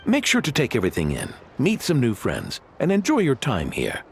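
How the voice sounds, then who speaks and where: A man's voice: mid-range voice, normal speed. A man voicing a hearty, devout and generous old grandfather innkeeper in a video game, with an effusive manner. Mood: hearty, welcoming. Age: older. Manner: animated, effusive. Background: music.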